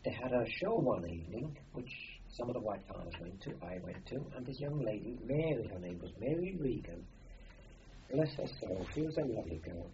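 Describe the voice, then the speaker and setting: A man's voice: lowish voice, animated, a little fast, noisy background. An older man from Austria, a native German speaker, telling a rambling oral-history story as an interviewee, with many fillers and restarts. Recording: dull lo-fi recording.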